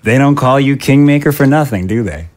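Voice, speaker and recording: Man playing a smug, animated congressman. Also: medium pitch, a little fast, close to an everyday voice. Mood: pleased. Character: Steve McPherson, smug, ambitious Republican congressman, man. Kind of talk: audio drama acting.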